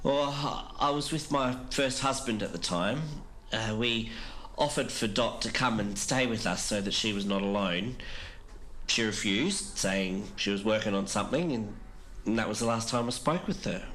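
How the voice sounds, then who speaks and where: A man playing a halting, grieving older man. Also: lowish voice, fairly bright tone, slight background noise, lightly acted, poor audio. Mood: grieving, regretful. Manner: halting, reflective. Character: grieving older man recalling two dear lost friends. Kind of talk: audio drama documentary interview.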